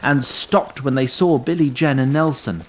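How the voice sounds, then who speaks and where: A man's voice: medium pitch, normal speed. A man narrating a fantasy audio drama as a scripted storyteller.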